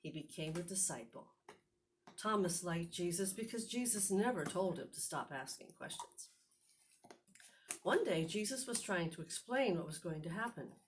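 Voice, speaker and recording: Female storyteller for a children's Bible read-aloud, slightly low register, expressive and measured, some noise on the recording. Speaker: host and story reader. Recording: clearly noisy recording with clicks.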